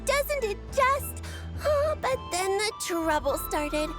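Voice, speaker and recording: A woman's voice: extremely high pitch, big pitch swings, music in the background, exaggerated voice. A woman voicing a mischievous, free-spirited little fluffy creature in a video game, with theatrical, exclamatory delivery. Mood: dramatic pleading. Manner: theatrical, exclamatory storytelling with giggles and drawn-out words.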